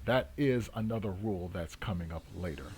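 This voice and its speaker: A man hosting a channel, giving casual explanatory commentary in a halting, emphatic way and repeating points for stress. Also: deep voice, darkish tone. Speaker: trading channel host.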